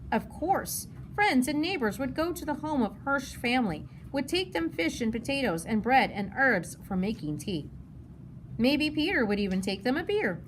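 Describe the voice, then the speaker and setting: A woman's voice: sits high, low-quality recording. A woman narrating a children's novel as a read-aloud. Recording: noticeable background noise.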